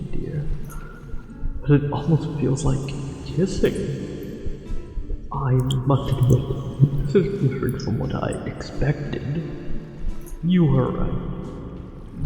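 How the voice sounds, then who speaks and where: A courtly, seductive merman, acted by a man, speaks slowly in a gravelly, mellow voice, lovestruck and possessive in his formal teasing. His words ring through a heavy cavernous echo in this ASMR roleplay, with music underneath. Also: mid-range voice. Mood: tender, enchanted. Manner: formal, archaic, tenderly teasing phrasing.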